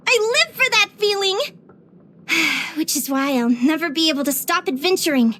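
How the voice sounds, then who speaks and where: A woman voicing a bubbly, curious and sweet young female adventurer in a video game, with an expressive, chirpy delivery. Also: high voice, moderate pace. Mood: wondering, earnest. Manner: expressive, chirpy, with sighs and giggles. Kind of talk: scripted video game character voice lines.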